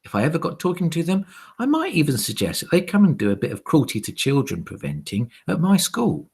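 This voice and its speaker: A man narrates a children's storybook with great theatrical flair. His pitch leaps and dives constantly, and his husky voice drops into hushed tones to build suspense. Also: huge pitch swings, brisk. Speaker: teacher reading a story aloud.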